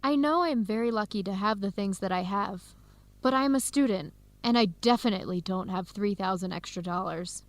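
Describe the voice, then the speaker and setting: A woman's voice: mid-range voice, normal speed, dry recording, lightly acted. A woman playing a friendly young Ethiopian American grad student with an American accent in a radio drama, speaking in a conversational way. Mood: earnest. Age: young adult. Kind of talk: educational radio drama acting.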